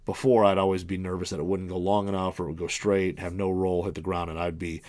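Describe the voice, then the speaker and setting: A man's voice: low-pitched, little pitch movement, fast, darkish tone, no echo. A man in his early forties hosting a podcast, talking casually and rambling through his equipment with many fillers and restarts. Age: 40s. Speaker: podcast host reviewing golf clubs. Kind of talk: casual monologue.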